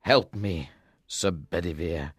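A woman's voice: rumbling low, slow pace, breathy edge, dry recording, clean studio sound, voice pushed down, heavily acted. A woman voicing a wounded, dying king in a storybook, weary but commanding, with weak, labored speech. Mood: sad, weak. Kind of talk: storybook character voice.

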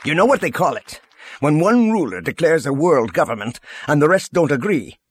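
A man's voice: mid-range voice, very animated, moderate pace, gravelly, breathy edge, no room sound, studio quality, pitched above the performer's own voice, full character voice. A man voicing a stern, earnest general in an audiobook, blunt and pressing in his indignation. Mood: angry.

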